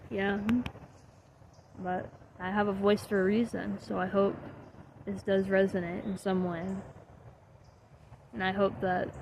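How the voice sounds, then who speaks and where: A young woman speaks in a candid, heartfelt monologue, her voice gravelly with fry and her pitch held fairly flat. She swears casually and drifts between thoughts. There is steady noise behind her, with vehicles passing. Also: rough recording. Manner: earnest and heartfelt, swearing, trailing off with soft laughs. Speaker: vlogger.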